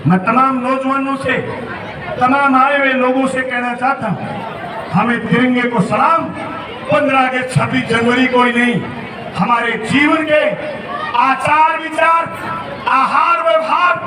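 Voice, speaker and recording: A man's voice: very high voice, fairly even intonation, measured pace, pauses often, distant mic. A man, a state legislator, giving a rousing, declamatory public speech at an outdoor Independence Day ceremony. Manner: rousing, declamatory, often shouting. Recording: echoey loudspeaker sound from afar, crowd noise.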